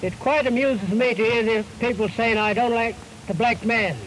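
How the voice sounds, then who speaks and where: High-pitched man, old newsreel speech. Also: moderate pace, a bit bright. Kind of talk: 1930s newsreel political speech. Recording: noisy 1930s newsreel film soundtrack.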